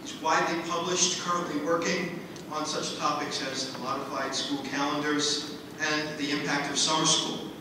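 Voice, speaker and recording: A man's voice: fairly high pitch, steady tone, moderate pace. A middle-aged man hosting a school event, delivering a formal prepared introduction of a speaker. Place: large open school hall at podium. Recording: echoey hall, distant, background noise.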